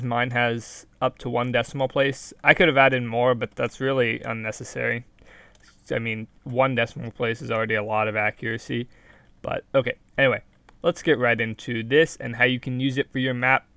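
Young male tutorial vlogger, slightly hoarse, chatty and self-correcting, smooth tone, dry close mic. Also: mid-range voice, fairly even intonation, moderate pace, fairly bright tone, no room sound. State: hoarse from being sick. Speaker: Minecraft video creator. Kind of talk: casual screen-recorded tutorial.

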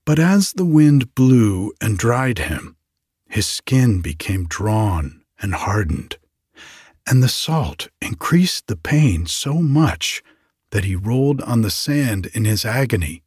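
A man narrating a bedtime story slowly and quietly, in an unhurried, hushed manner with long pauses. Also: medium pitch, sing-song, husky, no echo, clean studio sound. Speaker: podcast host reading bedtime stories.

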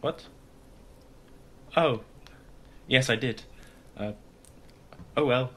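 A man's voice: mid-range voice, extremely slow, sharp tone, full character voice. Man acting pompous, stammering posh brother. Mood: sheepish, subdued. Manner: stammering, indignant, fussy. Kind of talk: comedy audio drama acting.

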